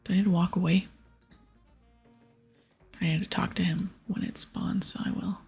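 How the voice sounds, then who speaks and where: A woman whispers and softly murmurs her way through a game in ASMR style. Her voice is very husky and breathy, with a crackle of vocal fry. Music plays faintly behind her. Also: fairly low pitch, flat delivery, normal speed. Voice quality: breathy, hushed. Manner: mostly whispering, with stretches of soft speech. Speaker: ASMR gaming streamer.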